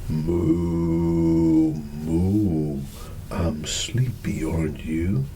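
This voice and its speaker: A man voicing a big, gentle, sleepy cow in an ASMR bedtime storybook, drawn-out and drowsy. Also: extremely low pitch, pitched below the performer's own voice, heavily acted. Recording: clear background ambient noise.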